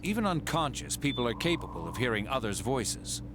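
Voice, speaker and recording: A man playing a serious, professional hospital doctor in a video game, speaking in a measured, formal way. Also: medium pitch, sing-song, noisy background, lightly acted. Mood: grave reassurance.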